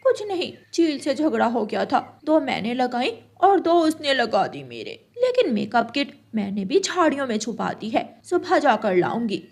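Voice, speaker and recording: A woman's voice: high voice, sing-song, moderate pace, hardly any pauses, exaggerated voice. A woman voicing a sulky, scheming female crow with an aggrieved manner in a children's cartoon. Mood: defensive. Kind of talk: Hindi children's cartoon dialogue.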